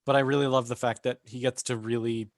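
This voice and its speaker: A man's voice: medium pitch, level tone, fast talker, dry recording, studio quality. A man co-hosting a podcast, discussing things casually with a fan's enthusiasm. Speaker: podcast co-host.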